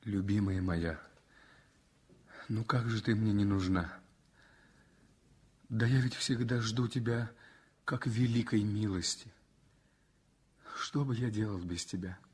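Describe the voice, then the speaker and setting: An actor playing a gravely ill young writer in a 1960s radio play, bitter and jealous at times and tender at others. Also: male voice, fairly low pitch, takes it slowly, long pauses.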